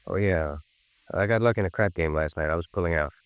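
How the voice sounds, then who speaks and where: A low-pitched man acting as a slippery ex-convict in an old radio crime play. He talks quickly in street slang, defensive and evasive, with a close, dry sound. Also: clean tone, no echo, close to an everyday voice. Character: evasive, grumbling ex-convict crime suspect in his thirties.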